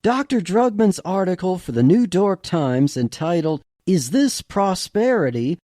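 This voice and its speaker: A man's voice: sits high, warm tone, dry recording, clean studio sound. A man voicing a polished woman news anchor in an audio story, with formal broadcast delivery.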